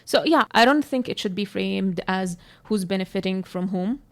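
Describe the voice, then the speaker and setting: Palestinian woman, candid and unhurried. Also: mid-range voice. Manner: candid, searching for words, frequent fillers. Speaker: co-host. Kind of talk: casual podcast conversation.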